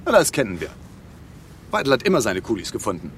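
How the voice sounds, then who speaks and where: An actor playing a fussy, nervy refugee in a radio drama, agitated and halting, with a self-important manner. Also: male voice, on the low side, wildly swooping pitch, a little hiss or hum. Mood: self-important disdain. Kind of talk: German radio drama dialogue.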